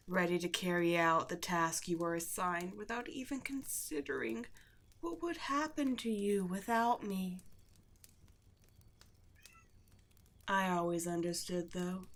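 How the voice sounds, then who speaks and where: Woman acting a slow, taunting demon queen. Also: mid-range voice, lightly acted. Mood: smug, reminiscing. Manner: slow, taunting, condescending, drops into breathy whispers. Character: seductive, gloating, wounded demon queen villainess. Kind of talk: scripted ASMR roleplay monologue to the listener.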